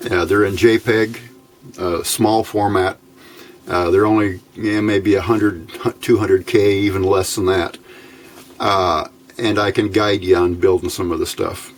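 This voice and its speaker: A man's voice: fairly low pitch, a little hiss or hum. An American man giving an informal technical tutorial as a hobbyist host, plainspoken and a little hesitant.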